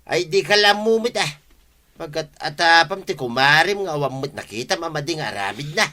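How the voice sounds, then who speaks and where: A man's voice: on the high side, lively intonation, moderate pace, bright, forceful tone. A man playing an evasive, dismissive husband with curt replies in an Ilocano comedy drama. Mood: evasive, irritated. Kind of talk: Ilocano radio-style comedy drama acting.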